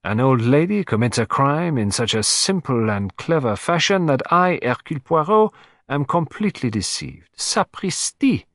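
A man's voice: mid-range voice, sing-song, moderate pace, fairly bright tone, dry recording, voice pushed up. Guy putting on a fussy vain old detective, voice jumping way up and down, really dry sound. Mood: agitated. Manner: theatrical, fussy exclamations. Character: excitable vain elderly male detective.

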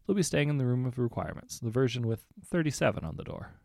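A man's voice: mid-range voice, fast talker, gravelly, darkish tone, no room sound, studio quality, pitched above the performer's own voice, lightly acted. A man voicing a polite, formal and earnest teenage head boy.

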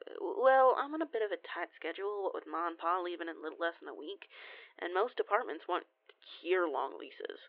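A woman's voice: on the low side, animated, a little fast, dry recording. A woman playing a cheeky, rambling young female werewolf cousin in an audio roleplay phone call, sheepish, folksy and a bit foul-mouthed. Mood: chatty, nervous. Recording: tinny band-limited phone line, very dry.

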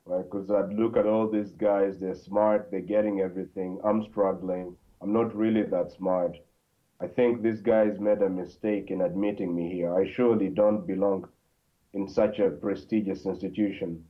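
A man from Congo talks as a podcast guest, his low voice coming through a tinny, phone-like line. He speaks haltingly, with plenty of fillers and restarts as he tells his story. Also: fairly even intonation. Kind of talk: conversational interview.

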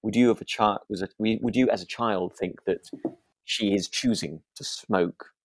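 A man's voice: lowish voice, normal speed, no echo. A man co-hosts a podcast in casual discussion, recorded close and dry. He is tentative, restarting sentences as he searches for the right words. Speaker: podcast co-host.

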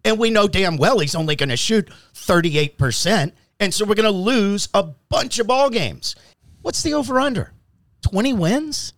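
A man's voice: high-pitched, animated, normal speed, fairly bright tone, dry recording. A man co-hosting a casual sports podcast, arguing basketball bluntly and emphatically with firm opinions.

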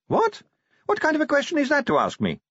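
Man putting on a high-pitched young female vampire. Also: huge pitch swings, normal speed, fairly bright tone, slightly rough, no echo, muffled recording, heavily acted. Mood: shocked. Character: young boyish female vampire, polite and keen.

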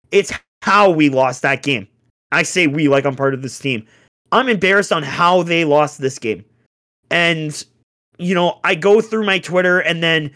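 A young man on a casual sports chat, ranting in an exasperated way with rhetorical questions, his voice going hoarse. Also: on the high side, bright, forceful tone. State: getting hoarse. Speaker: podcast co-host, hockey fan.

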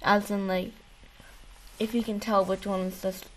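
A woman chats on a gaming podcast in a medium-pitched voice. Her delivery is loose and unscripted, with words repeated as she finds her way, and she swears casually. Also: level tone, normal speed, poor audio. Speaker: podcast co-host.

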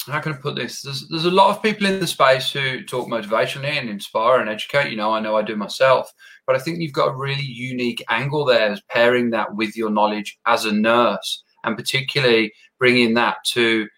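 A man hosting a podcast interview, encouraging his guest and pausing often with fillers. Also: medium pitch, sharp tone. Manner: encouraging, hesitant, many fillers. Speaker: podcast host.

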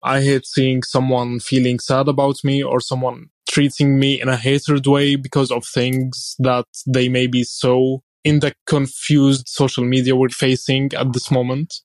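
A man's voice: medium pitch, little pitch movement, measured pace, few pauses, dry recording. A seventeen-year-old man from Syria, an Arabic speaker, answering interview questions conversationally. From Aleppo, Syria. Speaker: podcast guest, student.

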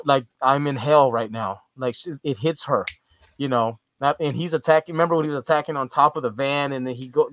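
A man's voice: mid-range voice, a bit bright, a little hiss or hum. A man co-hosting a casual podcast, rambling through a horror movie review with frequent restarts and casual swearing. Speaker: podcast co-host.

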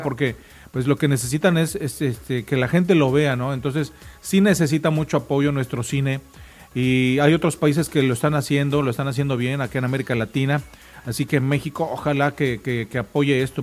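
A man with a Mexican accent hosts a casual radio chat in a fairly high voice. His talk is colloquial and slangy, with soft music playing behind him. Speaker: talk show host.

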